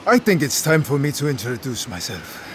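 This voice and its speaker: A man playing a menacing, mocking pirate captain in an audio drama, speaking with deliberate, theatrical taunts. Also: mid-range voice, sing-song, fairly bright tone, slight background noise.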